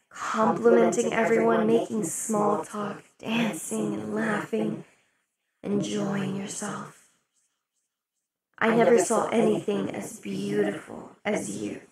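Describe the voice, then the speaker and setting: Woman as a slow-talking demon queen, loud party crowd. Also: mid-range voice. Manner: slow, velvety, dips into whispers. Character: possessive, imperious female demon queen. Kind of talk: ASMR roleplay. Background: crowd chatter of a party.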